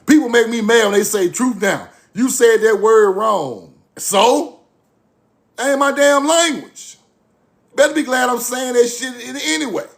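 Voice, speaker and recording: A man's voice: very high voice, a little slow, gravelly. A man giving a fiery informal lecture, blunt, repetitive and profane.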